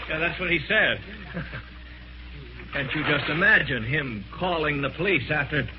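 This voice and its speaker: A cornered, evasive male murderer in a vintage radio mystery. He is edgy and defensive, with lively swings in pitch. The old recording sounds dull, with obvious noise. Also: measured pace. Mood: nervous, defensive.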